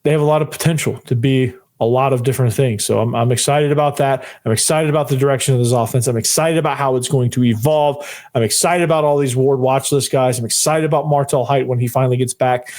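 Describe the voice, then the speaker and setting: A young man hosts his own sports podcast with a hoarse, worn-out voice that sounds close to giving out. He talks in an excited, rambling way, circling back over the same phrases and dropping "man" at the ends of sentences. His pitch sits in an ordinary middle range. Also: quick pace. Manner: fired-up, rambling, repeats phrases, says "man" often. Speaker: podcast host. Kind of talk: solo sports podcast talk.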